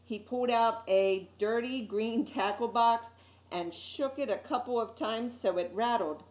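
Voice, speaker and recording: A woman reading a children's picture book aloud as an expressive storyteller, with little asides to her listeners. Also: fairly high pitch, a little slow. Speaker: story time reader. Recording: echoey room.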